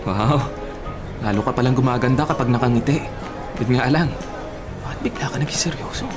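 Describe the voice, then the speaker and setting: Fast-talking, earnest young Filipino man, played by an actor. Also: mid-range voice, over music, close to an everyday voice. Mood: admiring. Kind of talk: Filipino radio drama acting.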